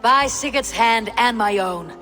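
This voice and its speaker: An actress playing a proud, commanding Viking clan leader in a video game, with a measured, declamatory manner. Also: female voice, a little high, expressive, sharp tone. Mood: proud oratory. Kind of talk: video game cutscene acting. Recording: reverberant hall with music behind.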